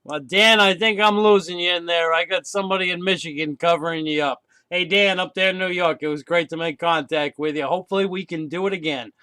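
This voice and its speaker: A man's voice: on the high side, fairly even intonation, fairly quick, hardly any pauses, bright, forceful tone, smooth. A man working as a CB radio operator, calling out contacts in a friendly way, repeating call signs and signing off.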